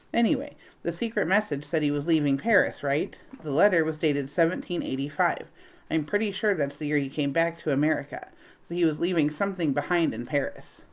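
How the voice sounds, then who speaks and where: A woman's voice: sits low, close to an everyday voice. Storybook girl, voiced by a female reader: young, bookish, earnest, slightly anxious delivery.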